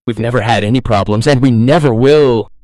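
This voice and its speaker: A man's voice: mid-range voice, a little fast, a little mellow, no echo, natural voice. A man playing a critical young husband in a family drama story, with a stern, reproachful manner. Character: demanding, critical young husband, later remorseful.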